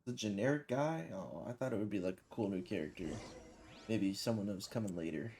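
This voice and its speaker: A man's voice: fairly low pitch, animated, fairly quick, darkish tone, a little hiss or hum. A man giving casual commentary as he plays a video game. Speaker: gaming video host.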